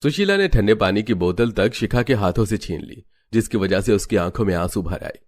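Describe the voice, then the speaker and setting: A man's voice: lowish voice, animated, fairly quick, darkish tone. A man reading scripted narration for a story.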